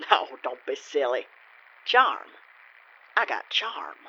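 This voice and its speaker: An actor playing a smug, folksy country lawyer in a comic radio play, with theatrical courtroom patter. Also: male voice, mid-range voice, wildly swooping pitch, slow pace, creaky, muffled recording, background noise, full character voice. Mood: sly, self-satisfied. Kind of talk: comedic old-time radio play acting.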